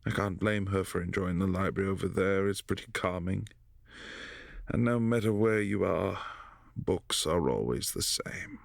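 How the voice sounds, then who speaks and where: A man voicing a brooding, possessive adult vampire prince in a boyfriend ASMR audio drama, speaking softly and often in a hushed whisper. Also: deep voice, normal speed, creaky, dry recording, studio quality. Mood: calm, concerned.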